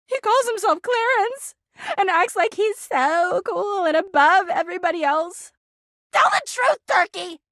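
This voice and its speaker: A woman's voice: extremely high pitch, expressive, a little slow, a bit bright, no room sound, studio quality, exaggerated voice. A woman voicing a clingy, jealous talking laundry hamper in a video game, gushing sweetly and flipping into bitter drama. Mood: accusing, jealous. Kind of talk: video game character voice acting.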